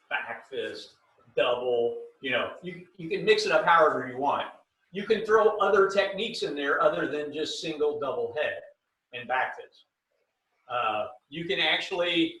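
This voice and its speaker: A man leads a martial arts drill over a video call and calls out each move at an unhurried pace. His voice is bright and a bit higher than most men's. He sits back from the microphone in an echoey room. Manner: calls out commands, relaxed and explanatory. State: bothered by low back pain. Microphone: computer mic on a video call. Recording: roomy video call audio from a distance.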